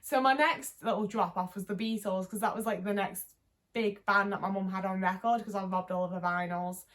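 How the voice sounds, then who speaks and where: A woman's voice: mid-range voice, fairly even intonation, fairly quick, a bit bright. Young woman, twenties, Liverpool accent; loose, candid vlog chatter with plenty of filler words. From England. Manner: rambling, frequent "like" fillers, sweary and candid. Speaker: vlogger. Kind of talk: casual chat to camera.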